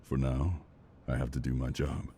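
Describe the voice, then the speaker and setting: A man's voice: extremely low pitch, little pitch movement, moderate pace, dark tone, a little hiss or hum. A man playing a weary, guarded, dutiful military lieutenant in a naturalistic drama. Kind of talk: naturalistic audio drama acting.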